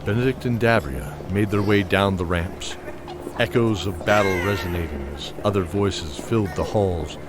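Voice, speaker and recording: A man's voice: low-pitched, moderate pace, noisy background. A man delivering dramatic scripted narration for a fantasy audio drama.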